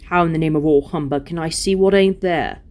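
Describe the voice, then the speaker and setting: A woman voicing a surly, stubborn male dwarf in a storybook reading, grumbling gruffly and scornfully in colloquial speech. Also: deep voice, brisk, a little mellow, lightly acted.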